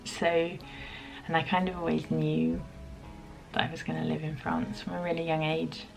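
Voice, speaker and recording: Woman, 30s, from the UK, vlogger; low, gravelly, thoughtful and halting. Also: little pitch movement, music in the background, poor audio. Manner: reflective, soft-spoken, many pauses and ums. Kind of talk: casual chat to camera.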